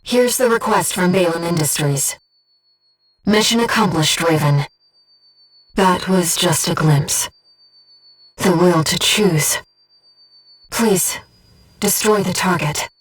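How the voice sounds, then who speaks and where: A woman voicing a calm, earnest, gentle disembodied female guide for a pilot in a video game, with measured, precise delivery. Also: on the low side, husky, far from the mic, soft background music, poor audio. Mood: composed, then hushed, solemn. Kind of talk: scripted video game voice acting, mission support.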